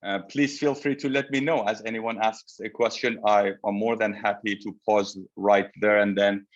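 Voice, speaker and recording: Low-voiced man lecturing. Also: little pitch movement. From Iran. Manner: polite, measured, addresses audience directly. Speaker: presenter, psychotherapy researcher. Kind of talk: webinar lecture. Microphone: video call. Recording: slightly dull video call audio.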